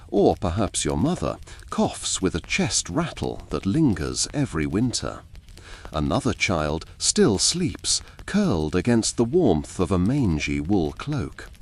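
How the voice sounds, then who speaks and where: A man narrates a scripted ASMR bedtime-style story in a deep voice. He takes his time over each phrase, speaking very slowly and deliberately. His intonation rises and falls dramatically across a very wide range. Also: breathy edge.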